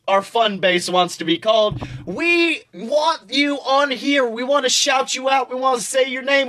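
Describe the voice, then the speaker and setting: A man with a high, bright voice co-hosts a casual comedy podcast. He tells stories in an animated, profane way, slipping into acted-out quotes as he goes. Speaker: podcast co-host.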